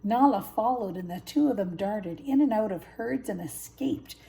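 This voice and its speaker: A woman's voice: mid-range voice, expressive, normal speed, creaky, background noise. An older woman, a grandmother, narrating a children's story. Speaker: grandmother reading stories.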